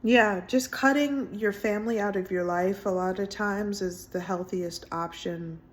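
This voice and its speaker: A woman in her thirties talking in a casual personal vlog from home, soft-spoken and rambling with many fillers. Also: mid-range voice, unhurried. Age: 30s. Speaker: vlogger. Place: indoors at home, window closed.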